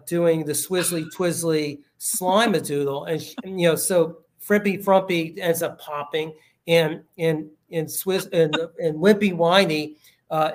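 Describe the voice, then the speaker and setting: A man from the United States, an author guest, talking casually with plenty of restarts. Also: a little high. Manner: rambling, many fillers and restarts. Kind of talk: casual interview chat.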